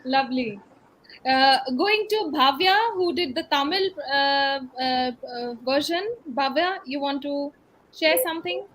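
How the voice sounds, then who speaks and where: High-voiced Indian woman hosting. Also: unhurried, a bit bright, slight background noise, low-quality recording. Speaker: host. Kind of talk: interview hosting.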